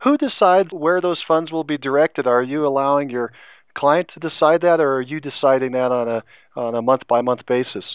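A man hosting an interview podcast, asking direct, measured questions. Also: medium pitch, smooth, no echo, rough recording.

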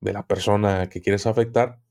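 A man's voice: lowish voice, fairly even intonation, moderate pace, vocal fry, a little mellow. A man who is an occultism expert guest, explaining at length in an interview and often repeating words while he searches for them.

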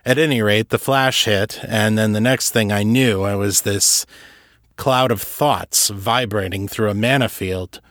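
A man voicing a gruff, cagey disembodied ex-commander in an audiobook, with measured, wry, folksy speech. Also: on the low side, fairly bright tone, no room sound, clean studio sound. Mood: explaining.

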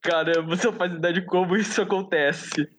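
A man's voice: high-pitched, steady tone, fast, heavy background noise. A man with a Brazilian Portuguese accent chatting playfully as a podcast co-host. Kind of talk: casual podcast chat.

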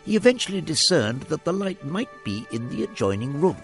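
A man's voice: on the high side, big pitch swings, a little fast, soft background music. A man narrating a classic story for a radio drama, relishing each detail with dramatic expression. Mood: hushed suspense.

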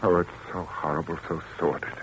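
Casting: male actor as tormented, stern widower, lowish voice, old-time radio melodrama, hissy muffled recording. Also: level tone, brisk, natural voice. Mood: anguished, sorrowful. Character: anguished, tense widowed father, brooding and stern. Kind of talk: 1940s radio suspense acting.

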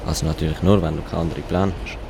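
A man's voice: very deep voice, fairly even intonation, rapid-fire. A male performer with a Swiss German accent playing an easily flustered man in an amateur radio play. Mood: hesitant, kindly. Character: English family father and grumbling taxi driver, easily flustered. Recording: clear outdoor traffic-like background noise.

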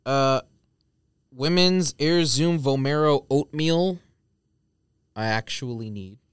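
A man's voice: medium pitch, slow, clear, resonant tone, no echo, studio quality. A man co-hosting a casual podcast, speaking tersely as he reads out list items and tosses in short remarks. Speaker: podcast co-host reading out a release list. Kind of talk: casual banter and list reading. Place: podcast studio.

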